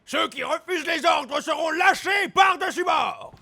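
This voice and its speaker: Un acteur joue un capitaine de navire septuagénaire furieux, qui menace son équipage d'une voix rocailleuse et théâtrale. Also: male voice, extremely high pitch, a little hiss or hum, heavily acted. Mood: menacing rage. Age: 70s. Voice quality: gravelly. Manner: menacing, theatrical, drawn-out shouting. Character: furious seventy-year-old sea captain barking at his crew.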